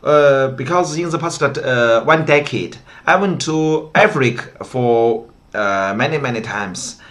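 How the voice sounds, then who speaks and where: A man's voice: medium pitch, slow, fairly bright tone. A middle-aged man from China with a Mandarin Chinese accent, talking over a video call in an agreeable, hesitant way. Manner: agreeable, many hesitant uh fillers. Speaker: interpreter and host. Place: office with leather chair.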